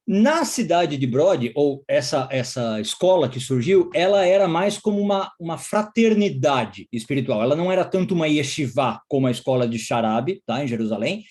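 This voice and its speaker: A man's voice: a little high, animated, moderate pace, hardly any pauses, a little mellow. A man from Israel giving a course lecture, explaining step by step and often checking in with short tag questions.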